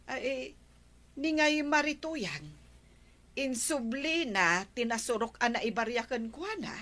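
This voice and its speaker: A woman's voice: sits high, lively intonation, slow pace, a bit bright, full character voice. An actress with an Ilocano accent playing a plain-spoken, scolding village woman in a radio comedy drama. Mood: chatty.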